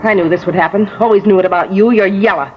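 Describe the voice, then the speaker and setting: An actress in 1950s radio drama playing a suspect's defiant, scornful wife; the old broadcast is dull and hissy. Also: female voice, medium pitch, fast talker, natural voice. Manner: scornful, combative. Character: Red's sharp-tongued defiant wife.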